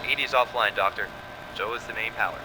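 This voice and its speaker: An actor playing an obedient lab technician in an audio drama, reporting dutifully. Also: male voice, on the low side, fast talker, bright, forceful tone, a little hiss or hum, rough recording. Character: obedient male lab technician assisting a scientist.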